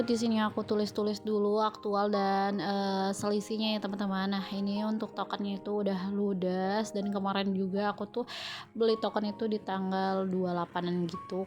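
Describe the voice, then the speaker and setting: A woman's voice: mid-range voice, darkish tone. A young woman vlogger with a stuffy nose from a cold, chatting casually to her viewers over a home budgeting voice-over, with many fillers. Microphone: phone.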